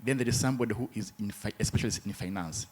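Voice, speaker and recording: A man from Uganda who works in hospital administration, speaking from the floor at a conference in a formal, measured, methodical way. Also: on the low side, slightly rough. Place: conference room.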